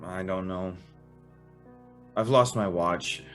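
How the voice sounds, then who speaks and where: A man's voice: low voice, slow pace, a bit bright, soft background music. A man acting a protective, worried young man in a radio play, with an earnest manner. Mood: weary, resigned. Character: alarmed, protective young man urging his sweetheart.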